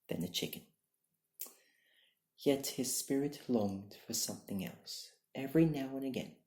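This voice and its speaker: A young adult reading bedtime stories in a soft-spoken, partly whispered ASMR style, with a gentle, deliberate and hushed delivery. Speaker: ASMR storyteller. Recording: low-quality, echoey room recording.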